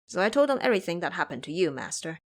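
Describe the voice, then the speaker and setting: A put-upon servant lad, acted by a woman, complains his way through an audio drama. He sounds flustered and aggrieved, with a very changeable pitch. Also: very animated, very fast. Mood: flustered explaining. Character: put-upon, grumbling young male household servant.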